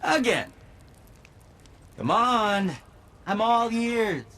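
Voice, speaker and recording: A woman's voice: fairly high pitch, lively intonation, very slow, fairly bright tone, full character voice. A woman playing a bratty, bossy, teasing youngster in a video game, with a cheeky, exaggerated way of talking. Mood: smug teasing. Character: bratty, bossy, teasing youngster who nags a grumpy warlord. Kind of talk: animated video game voice acting.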